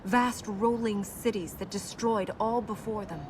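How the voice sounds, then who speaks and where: An actress playing a serious, resolute young princess in a video game, measured and matter-of-fact. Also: female voice, mid-range voice, steady tone, close to an everyday voice. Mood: grave explaining. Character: solemn, resolute young princess guiding an adventurer. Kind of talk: video game character acting. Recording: noisy game capture with ambience.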